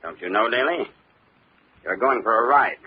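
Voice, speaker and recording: An actor playing a cold, mocking killer with a gun in an old radio drama, taunting and deliberate. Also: male voice, medium pitch, expressive, breathy edge. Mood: smug menace. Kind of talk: 1940s radio acting. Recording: muffled, noisy old radio recording.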